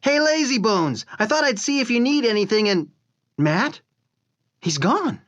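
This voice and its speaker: An actor playing a fretful, good-hearted office partner, earnest and fussing, in a comic-book drama. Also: male voice, very high-pitched, big pitch swings, a little slow, noisy background, exaggerated voice. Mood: worried, puzzled. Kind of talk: dramatized comic-book read-along acting.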